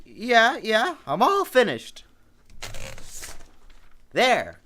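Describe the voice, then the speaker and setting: A man's voice: extremely high pitch, huge pitch swings, drawn-out words, bright, forceful tone, a little hiss or hum, full character voice. A male actor playing a reluctant young son in an old-time radio family comedy, grudging and stiff.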